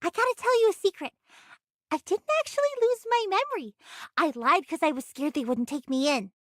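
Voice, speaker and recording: Squeaky, excitable little anime girl. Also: a little fast, no room sound, clean studio sound. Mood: confiding. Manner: eager, boastful, childlike. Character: excitable, chatty young girl with a secret. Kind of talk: anime game voice acting.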